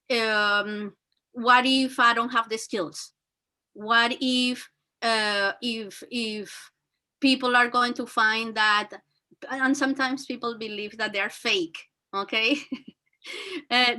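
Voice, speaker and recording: A woman with a very high, bright and forceful voice talks earnestly, her full of fillers and restarts. She speaks as a teacher and guest, giving motivational advice. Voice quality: very high-pitched voice. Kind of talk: motivational talk.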